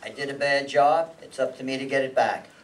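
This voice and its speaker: Hard-boiled detective narrator played by an older man, matter-of-fact, medium pitch, live stage radio play heard from afar. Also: steady tone, fairly quick, lightly acted. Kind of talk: old-time radio acting and noir narration. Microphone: stand microphone on stage, heard from audience. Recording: live stage pickup from far off, music.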